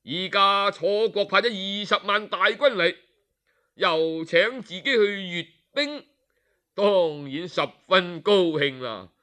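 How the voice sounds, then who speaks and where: A man narrating a historical tale in traditional oral storytelling style, with a measured, dramatic delivery. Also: high-pitched, sharp tone.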